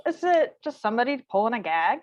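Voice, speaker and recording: Woman as a high, excitable radio-play coworker, dull sound. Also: fast talker. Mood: amazed. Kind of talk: 1950s radio acting. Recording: dull, band-limited sound.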